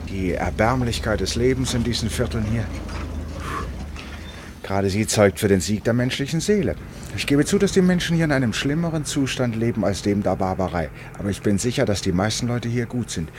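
Man acting a deep, theatrical, opinionated eccentric Englishman. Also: brisk, a little mellow, a little hiss or hum, close to an everyday voice. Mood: declaiming. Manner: declamatory, emphatic, rhetorical. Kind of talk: German radio play acting.

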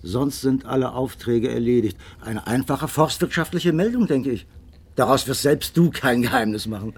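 An actor playing a good-natured, sceptical detective's companion who narrates and talks in a radio crime drama. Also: male voice, medium pitch, moderate pace, husky. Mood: casual, dismissive.

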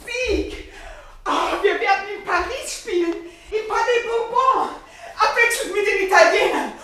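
A woman's voice: very high-pitched, fairly bright tone, a little hiss or hum. An actress in a German radio drama playing a passionate, affectionate and wry leading lady of a theatre troupe, speaking theatrically. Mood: triumphant. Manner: theatrical, deliberate, emotive.

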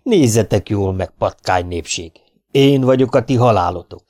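A man voicing a proud, menacing old-time Hungarian warlord in a storybook reading, making solemn, booming threats. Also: a little mellow, close to an everyday voice. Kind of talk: audiobook character voice.

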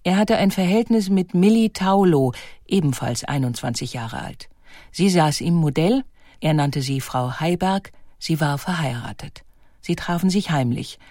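A woman reading scripted documentary narration in a measured, articulate way. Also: low-pitched, expressive, dark tone, dry recording, studio quality.

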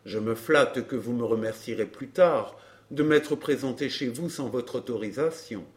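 A man playing a courteous, ironic gentleman in a dramatised audiobook, speaking politely and with phlegmatic calm. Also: mid-range voice, moderate pace, lightly acted. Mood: calm irony. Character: Baron de Fresnay, unflappable, courteous, ironic gentleman.

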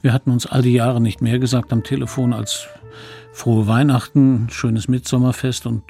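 A middle-aged man , played by an actor looks back wistfully in a radio drama. His voice is middling in pitch and gravelly, his storytelling measured and sombre, with faint music underneath. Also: level tone, warm tone, lightly acted. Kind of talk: radio drama first-person narration.

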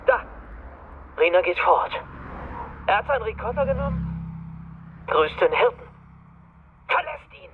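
High, animated police lookout. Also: male voice, a little slow, long pauses, phone-quality sound, slight background noise. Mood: tense excitement. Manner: urgent, clipped phrases. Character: keyed-up police surveillance officer reporting to his chief. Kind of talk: radio crime drama acting.

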